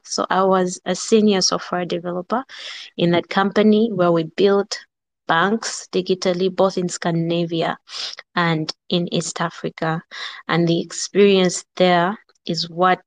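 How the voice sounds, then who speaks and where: Woman, 30s, low-pitched, slow and deliberate, dry close sound; podcast guest. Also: no echo. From central Uganda. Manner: measured, thoughtful, frequent "um" pauses. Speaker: guest, startup co-founder. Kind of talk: podcast interview answers. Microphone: remote call-in on a live audio chat.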